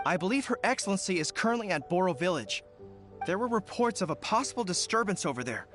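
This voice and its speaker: An earnest young army general, high and lively, super dutiful and formal. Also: male voice, fast talker, over loud music.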